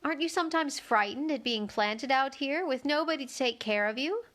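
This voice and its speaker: A woman's voice: high-pitched, lively intonation, brisk, fairly bright tone, voice pushed up. A woman playing a polite, curious young girl from a children's storybook, speaking in an earnest, inquisitive manner.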